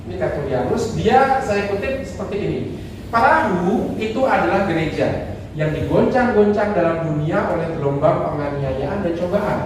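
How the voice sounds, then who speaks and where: A man, a priest, giving a lively sermon talk, acting out quoted voices and joking with the audience. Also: high voice, moderate pace, distant mic, background noise. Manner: animated, acts out quoted voices, jokes with audience. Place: church hall. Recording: echoey hall recorded from afar.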